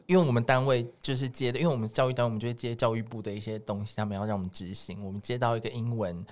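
A man's voice: medium pitch, steady tone, very fast, a little mellow. A man with a Taiwanese Mandarin accent chatting casually as a music producer guesting on a podcast.

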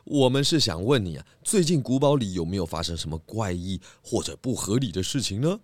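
A man voicing a calm, polite pig detective who asks questions patiently in a children's audio drama. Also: lowish voice, fairly quick, dry recording. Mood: reassuring.

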